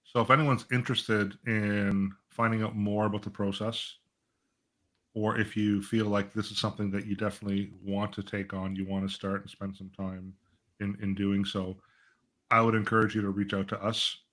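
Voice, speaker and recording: Male financial advisor, lower register, conversational and explanatory, panel discussion. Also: little pitch movement, moderate pace, fairly bright tone. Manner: explanatory, uses acted-out client dialogue and rhetorical check-ins. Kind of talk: conversational live panel talk.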